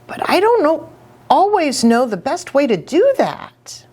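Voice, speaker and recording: Woman as an earnest, animated young boy. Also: sits high, unhurried, voice pushed up. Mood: uncertain. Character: earnest, uncertain young boy seeking answers.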